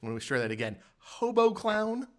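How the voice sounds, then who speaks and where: A man hosting a podcast in casual comedic chat, putting questions to his guests in a deadpan way. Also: high voice, moderate pace, crowd noise. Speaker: podcast host.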